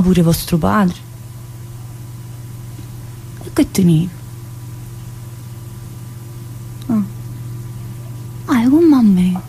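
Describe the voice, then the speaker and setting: A woman's voice: mid-range voice, dark tone, a little hiss or hum, low-quality recording. An actress playing a talkative, troubled woman locked in a psychiatric prison, in a radio theatre monologue, with a Neapolitan accent and a colloquial, confiding, rambling manner. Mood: curious, sympathetic. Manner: colloquial, confiding, rambling, with abrupt pauses and interjections.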